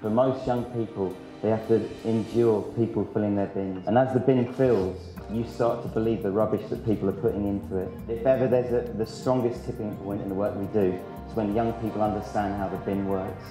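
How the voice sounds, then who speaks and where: Man, lowish voice, motivational conference speaker, roomy sound, background music. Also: fairly even intonation, a little fast, few pauses. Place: large conference hall. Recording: echoey hall, music over it.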